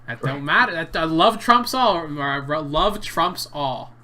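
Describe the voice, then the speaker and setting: A man's voice: on the high side, expressive, unhurried, pushed and bright. A young man hosting a casual, joking anime debate, rambling and restarting as he talks. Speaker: channel host.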